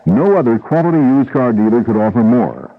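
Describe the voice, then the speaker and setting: A man reading a scripted, emphatic sales pitch as a commercial announcer on an old radio broadcast. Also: very animated, brisk, phone-quality sound.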